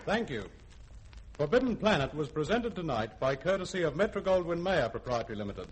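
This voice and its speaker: A man reads credits as a radio producer. His high voice moves animatedly but stays formal, on a faintly noisy old recording. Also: sing-song, fairly quick. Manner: formal, precise. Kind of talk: scripted credits announcement.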